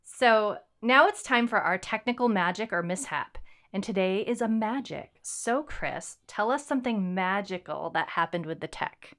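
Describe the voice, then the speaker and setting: A woman with a medium-pitched voice co-hosts a podcast. She speaks in an informal, conversational style, close to everyday chat. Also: expressive, moderate pace, a bit bright. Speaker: podcast co-host. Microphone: podcast microphone on a boom arm.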